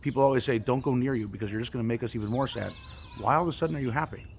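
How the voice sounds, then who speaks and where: Storybook little kid, brave and nosy, played by a male storyteller, quick blunt questions, creaky edge. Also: medium pitch, a little hiss or hum, natural voice. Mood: curious.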